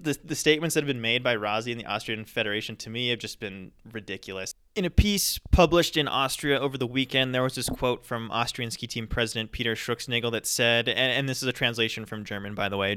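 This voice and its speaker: A man's voice: medium pitch, bright, forceful tone, clear, resonant tone. A man co-hosting a sports podcast, giving opinionated commentary with lots of ums and restarts. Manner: opinionated ranting, frequent fillers. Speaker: podcast co-host. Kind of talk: casual podcast commentary on ski racing.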